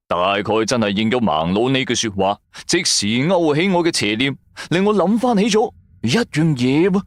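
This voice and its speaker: A man's voice: mid-range voice, no room sound. A man narrating an audio drama as a colloquial, suspenseful storyteller. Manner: colloquial, suspenseful, rhetorical questions.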